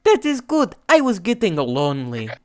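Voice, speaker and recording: A man's voice: high voice, wildly swooping pitch, moderate pace, a little mellow, pitched above the performer's own voice. A man voicing a sweetly cheerful, childlike and slightly menacing Russian man in a comic story, with a Russian accent. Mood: sweetly lonely.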